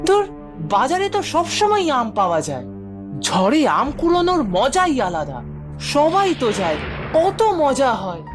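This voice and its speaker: Cartoon schoolboy, played by a woman: high, lively, wheedling and excitable, over prominent music. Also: normal speed. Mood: wheedling, eager. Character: mischievous, eager young schoolboy who loves mangoes. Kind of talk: animated cartoon voice acting. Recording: music behind the voice.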